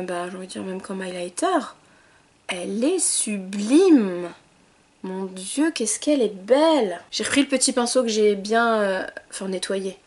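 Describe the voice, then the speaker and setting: Woman, beauty vlogger, bubbly tutorial chat, fairly high voice, soft trailing asides.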